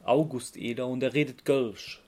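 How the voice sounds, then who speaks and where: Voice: mid-range voice, fairly even intonation, normal speed, darkish tone. A podcast co-host, explaining things informally in casual conversation.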